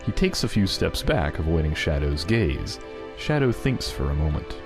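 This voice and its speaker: A man's voice: a bass voice, sing-song, moderate pace. A man reading scripted story narration as the narrator of a fan fiction. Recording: music behind the voice.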